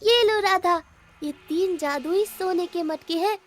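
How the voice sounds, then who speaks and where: Woman as a high-voiced, gentle magical fish. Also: measured pace. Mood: generous. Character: kind, reassuring magical talking female fish. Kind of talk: animated moral story acting.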